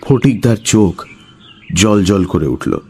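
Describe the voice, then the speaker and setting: A man's voice: on the low side, animated, takes it slowly, mellow, husky. A man narrating a scripted suspense story as its storyteller.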